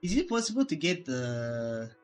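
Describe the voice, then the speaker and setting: A man reading game dialogue aloud during a playthrough, halting and stumbling over words as he goes. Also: on the high side, very animated, faint music behind. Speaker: gaming streamer.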